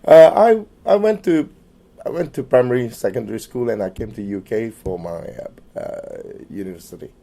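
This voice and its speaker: A middle-aged man from Malaysia in an oral history interview, hesitant with many fillers and restarts, trailing off quieter at phrase ends. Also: medium pitch, expressive, normal speed, gravelly.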